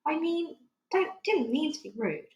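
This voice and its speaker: A woman's voice: sits high, very animated, unhurried, darkish tone, voice pushed up, full character voice. A woman voicing a polite, inquisitive and direct young girl in a children's storybook. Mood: apologetic. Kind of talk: children's storybook read aloud. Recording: echoey room.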